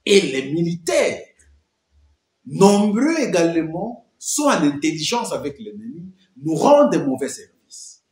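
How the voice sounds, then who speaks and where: A man's voice: fairly high pitch, roomy sound. A man giving emphatic political commentary, full of rhetorical questions and repeated phrases.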